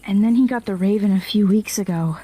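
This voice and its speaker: A woman's voice: mid-range voice, brisk, dark tone, husky, lightly acted, low-quality recording. A woman acting in an audio drama as a loyal informant to villains, earnest and a little hesitant, in a naturalistic style. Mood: reporting, unsure.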